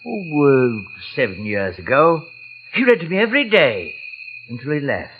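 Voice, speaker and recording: A lonely jungle recluse talks in a soft, stammering way, and his pitch rises and falls a great deal. He chuckles and sounds quietly menacing. It is a muffled vintage radio play recording with a faint hiss behind it. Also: big pitch swings, normal speed. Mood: wistful. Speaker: actor in radio drama. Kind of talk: 1940s radio acting.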